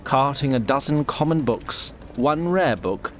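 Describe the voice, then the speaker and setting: Man as a mock-epic narrator, grandiose, formal, dryly wry, animated pitch, audible background noise. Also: a little slow, natural voice. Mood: grandly wry.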